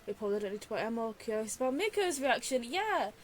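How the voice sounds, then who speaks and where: A woman's voice: fairly high pitch, big pitch swings, quick pace, slight background noise. A woman giving casual gameplay commentary as a streamer, musing aloud as she plays. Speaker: gaming streamer.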